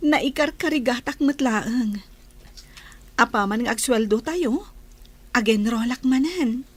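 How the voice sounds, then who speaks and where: A woman's voice: fairly high pitch, slow. A woman with an Ilocano accent playing a hopeful, dutiful young working student in a radio drama.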